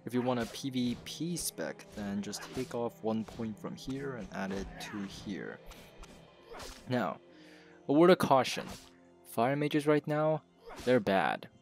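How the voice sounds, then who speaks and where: A man's voice: medium pitch, big pitch swings, slow pace. A man with a sore throat from being sick, talking through a casual game guide in a candid, rambling way that corrects itself as he goes. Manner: candid, rambling, self-correcting, with brief laughs. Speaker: gaming video host. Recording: voice-over with clear background music.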